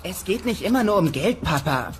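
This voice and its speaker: A man playing an earnest, idealistic teenage dinosaur boy in a comedy audio play, youthful and excitable. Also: high-pitched, a little fast, slight background noise. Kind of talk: dubbed comedy radio play acting. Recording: music behind the voice.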